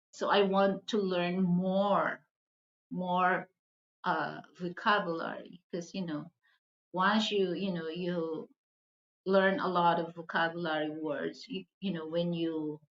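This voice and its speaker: A woman with a Filipino accent, a native Hiligaynon speaker, chatting casually to viewers as a vlog host and often repeating her words haltingly. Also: fairly low pitch, steady tone. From the Philippines. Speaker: video channel host. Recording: dull, roomy, echoey sound.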